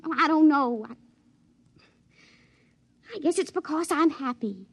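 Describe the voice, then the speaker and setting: High-voiced naive hill girl. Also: expressive, unhurried, no echo. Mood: reluctant, plaintive. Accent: American rural hill-country. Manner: folksy, hesitant, guileless. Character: naive, lovestruck young backwoods hill girl, moody and plaintive. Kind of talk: 1950s radio drama acting. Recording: dry, dull vintage radio recording.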